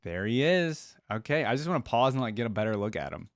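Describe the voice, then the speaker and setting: A man's voice: medium pitch, very animated, fast, slight background noise. A man hosting a reaction video, thinking aloud in an informal, exclamatory way as he comments. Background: game trailer and theme music.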